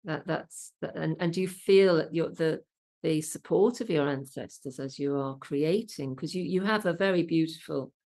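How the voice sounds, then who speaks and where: Gravelly-voiced woman interviewer. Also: low-pitched, normal speed. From English Midlands. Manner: warm, encouraging questioner with affirming interjections. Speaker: interview host. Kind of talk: conversational interview.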